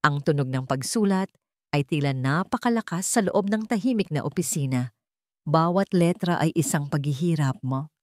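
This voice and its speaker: Low-voiced woman telling a drama. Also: lively intonation, darkish tone, dry recording, clean studio sound. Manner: measured, solemn storytelling. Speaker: storyteller. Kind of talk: scripted dramatic narration.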